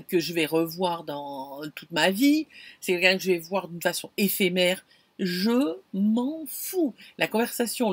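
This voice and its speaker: A middle-aged woman vlogging from inside a camper van, telling an anecdote in an animated, expressive way and talking straight to the viewer. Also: medium pitch, husky, no echo. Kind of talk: casual storytelling to camera. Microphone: camera close in front.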